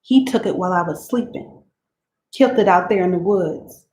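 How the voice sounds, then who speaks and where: A woman voicing a weary, abused teenage country girl who confides in God, speaking in a plain rural dialect, quiet and resigned. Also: fairly low pitch, moderate pace, slight background noise, close to an everyday voice. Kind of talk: dramatic reading of letters. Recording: roomy room echo.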